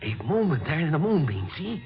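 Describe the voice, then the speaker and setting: Animated scientist, old radio drama. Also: male voice, on the high side, brisk, faint music behind. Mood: amazed. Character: astonished, commanding male scientist colleague. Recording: muffled old radio recording.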